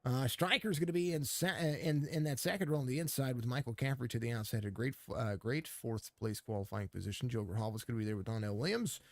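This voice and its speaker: A man's voice: mid-range voice, quick pace, few pauses, no echo. A man with laryngitis commenting live on a sim racing stream, rambling through the grid and chatting with viewers, with lots of fillers and restarts. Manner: rambling, filler-heavy play-by-play, greets chat viewers. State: hoarse from laryngitis. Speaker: race commentator and stream host.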